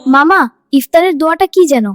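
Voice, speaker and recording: Cartoon casting: woman as small devout boy, extremely high voice, innocent and earnest, dry recording. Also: brisk, no echo, lightly acted. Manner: innocent, questioning, childlike. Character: earnest, eager, devout little boy keeping his first fast. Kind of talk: children's Islamic cartoon dialogue.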